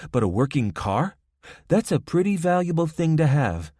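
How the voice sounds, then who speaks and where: A man's voice: on the high side, sing-song, normal speed, mellow, no room sound, studio quality, pitched above the performer's own voice. A man doing a cocky young Spanish-accented woman. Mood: taunting. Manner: mocking, self-assured. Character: tough young woman gang lookout, confident.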